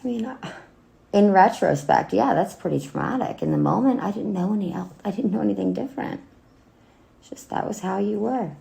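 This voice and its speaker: A woman acting a wounded, bitter grown daughter in a dramatic monologue, speaking haltingly and emotionally with a gravelly voice. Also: medium pitch, dark tone. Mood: wounded, resentful. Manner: halting, emotional, with wry laughs and swearing. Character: bitter, wounded grown daughter addressing her dead mother. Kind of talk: dramatic monologue cold read.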